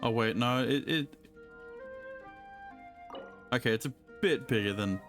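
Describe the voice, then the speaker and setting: A streamer giving casual, wry, halting live commentary over a video game. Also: medium pitch, fairly bright tone, soft background music.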